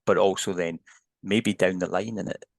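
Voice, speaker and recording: Deep, gravelly Scottish man. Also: steady tone, normal speed, no echo. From Scotland. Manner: thoughtful, hedging explanations with restarts. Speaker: headache neuroscientist guest. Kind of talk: podcast interview.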